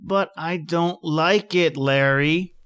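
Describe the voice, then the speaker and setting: A man's voice: fairly high pitch, slow pace, fairly bright tone, clear, resonant tone. An actor playing an edgy, short-tempered man at a remote outpost in an old-time radio drama, with terse, reflective delivery. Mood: angry, defiant. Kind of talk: old-time radio drama acting and first-person narration.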